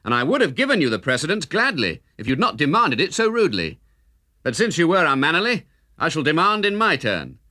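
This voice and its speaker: A man voicing a spirited, cheeky young outlaw hero in a children's storybook, speaking in brisk, formal, old-fashioned banter. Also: on the high side, wildly swooping pitch, sharp tone, no echo, clean studio sound, voice pushed up, heavily acted. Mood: indignant.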